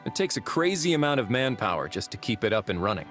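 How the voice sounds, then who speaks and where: A man playing a calm, dryly witty duke in a video game cutscene, speaking in a composed, measured way. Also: medium pitch, music in the background, lightly acted. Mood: matter-of-fact.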